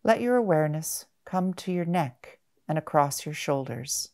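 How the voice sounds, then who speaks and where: A woman guiding a meditation in a gentle, measured manner, with long pauses between short phrases. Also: low voice, expressive. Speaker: mindfulness meditation guide. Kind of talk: guided body-scan meditation.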